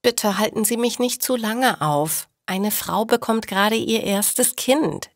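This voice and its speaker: A woman voicing a busy, kindly young midwife in a learners' audio storybook, earnest and clearly enunciated. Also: medium pitch, slow pace, dry recording, clean studio sound, voice pushed up. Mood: pleading.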